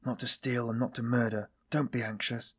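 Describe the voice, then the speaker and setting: Guy doing a weary tortured man confessing, raspy voice, bitter and halting, audiobook style. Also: mid-range voice, level tone, lightly acted. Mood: pleading. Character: tormented, weary, self-loathing man confessing. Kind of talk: dramatised audiobook dialogue.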